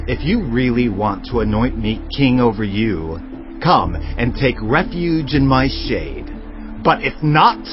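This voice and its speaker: Jotham, a solemn indignant orator over music. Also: male voice, medium pitch. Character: Jotham, indignant youngest son reproaching a crowd with a parable. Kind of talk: dramatized Bible audio acting. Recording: dull lo-fi sound, music behind.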